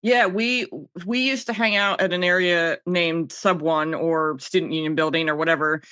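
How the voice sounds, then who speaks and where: American woman in her 30s, bright voice, super chatty and open, dry close sound. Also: on the low side, steady tone, moderate pace, clean tone, dry recording. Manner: chatty, candid, giggly. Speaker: podcast guest, filmmaker. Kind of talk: casual chat.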